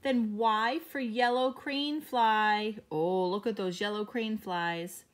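A woman teacher reading a picture book aloud to young children, explaining each page in a gentle way. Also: mid-range voice, steady tone, slow.

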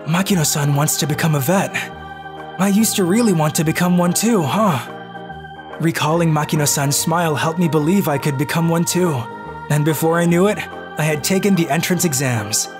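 A woman voicing an anxious, self-pitying, socially awkward boy narrating his own life in an animated manga story. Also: deep voice, moderate pace, music in the background, close to an everyday voice. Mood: glum, reflective. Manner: expressive, self-deprecating monologue with outbursts.

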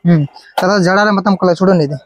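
Brisk high-voiced shopkeeper, very fast. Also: male voice, dull audio. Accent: Telugu, Hyderabad. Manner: brisk, repeats prices, keeps telling the viewer to look. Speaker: wholesale shopkeeper. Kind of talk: walking through a shop showing goods and prices. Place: busy wholesale fancy store.

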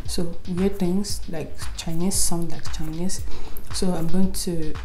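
A woman's voice: lowish voice, takes it slowly, soft background music. Chatty young Nigerian woman vlogging. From Imo State, Nigeria. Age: 20s. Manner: chatty, animated hand gestures, tag questions like "okay?" and "right?". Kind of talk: casual get-to-know-me talk to camera. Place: indoor room before a plain wall.

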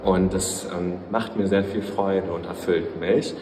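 A man's voice: sits low, fairly even intonation, unhurried, mellow. A man in his twenties, a student giving a self-introduction to camera. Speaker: history student. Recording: reverberant hall, distant microphone. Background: background music.

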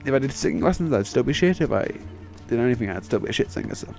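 A young man gives casual, self-deprecating commentary on a game, wandering from thought to thought. His voice is mellow and fried at the edges, tired from hours of talking. Music plays faintly behind him. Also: medium pitch, sing-song, very fast, vocal fry. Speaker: video game let's player. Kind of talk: casual rambling gameplay commentary. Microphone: microphone, voice-over on game video. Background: game end-credits music.

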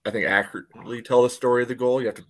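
A man in a casual sports breakdown on a video call, explaining plays in a measured way with frequent "you know". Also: medium pitch, fairly even intonation. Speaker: podcast panelist. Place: indoor room, remote video call. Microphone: video call microphone.

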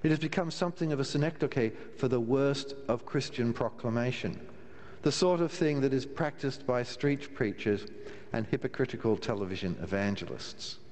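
An older man, a minister, giving a measured sermon from the pulpit of a large church, with a wry touch and slow, emphatic pauses. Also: medium pitch, a little mellow. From Australia. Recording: long church reverb, faint room hiss.

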